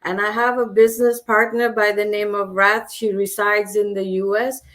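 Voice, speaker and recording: A woman's voice: medium pitch, flat delivery, moderate pace, a bit bright. A woman from Fiji hosting an online business chat in a formal, slightly hesitant way. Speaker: host.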